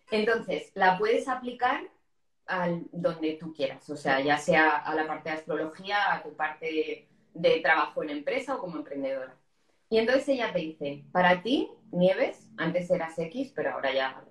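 A woman from hosting a casual live interview, talking in an informal, explanatory way. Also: lowish voice. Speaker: host of a live interview show. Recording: echoey room sound.

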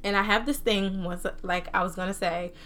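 A woman's voice: mid-range voice, moderate pace, a little hiss or hum. A young woman from New Jersey with a mixed Jersey and Southern American accent, chatting casually and animatedly as a solo podcast host. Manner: animated, informal, slangy. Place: own room at home.

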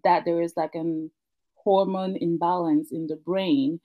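A woman from Africa, a guest in a casual chat, talking reflectively with many pauses and restarts. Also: on the low side, fairly even intonation, dry recording, narrow, tinny audio. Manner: thoughtful, many fillers and restarts.

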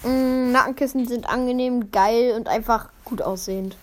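A woman's voice: on the high side, moderate pace, a little hiss or hum. A woman chatting casually as a podcast co-host, terse and hesitant. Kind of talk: casual chat.

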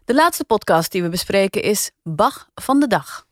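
A woman's voice: medium pitch, animated, normal speed, noisy background. A woman hosting a casual podcast review chat, speaking in a blunt, critical way.

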